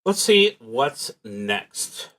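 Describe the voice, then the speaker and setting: A man talks through a solo tabletop game for a stream, thinking out loud as he goes. His pace is very slow and deliberate, with pauses in mid-sentence. His medium-pitched voice rises and falls widely. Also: big pitch swings. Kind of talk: casual game livestream narration, thinking aloud.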